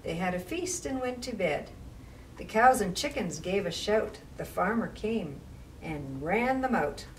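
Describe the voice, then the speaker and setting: A woman reads aloud to young children in an expressive storytime style. Her delivery is slow and deliberate. She is recorded at some distance from the microphone, with slight noise behind her. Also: mid-range voice. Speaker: library storytime reader. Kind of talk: read-aloud children's rhyming story with casual asides. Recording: distant mic with faint background noise.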